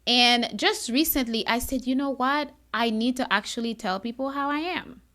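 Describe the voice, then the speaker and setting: A woman's voice: a little high, moderate pace. A woman from Haiti hosting a podcast, talking candidly in a chatty, bubbly, conversational way. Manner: animated, chatty, self-described bubbly. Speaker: podcast host.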